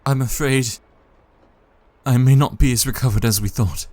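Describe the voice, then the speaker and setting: An actor playing a haunted, fragile young husband in a Victorian gothic audio drama, halting and troubled, with a breathy, husky voice. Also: male voice, mid-range voice, fairly even intonation, a little mellow, no echo, natural voice. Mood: frightened, fragile.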